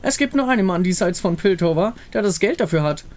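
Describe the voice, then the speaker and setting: A man's voice: high voice, animated, quick pace. A man voicing a bold, threatening pirate captain woman from a game story, taunting and commanding. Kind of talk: fan reading of a game visual novel.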